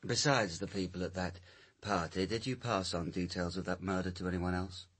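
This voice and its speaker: An actor playing a suspicious police sergeant in a radio crime drama, with a blunt, challenging way of questioning. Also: male voice, deep voice, steady tone, moderate pace, fairly bright tone, husky. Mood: pressing.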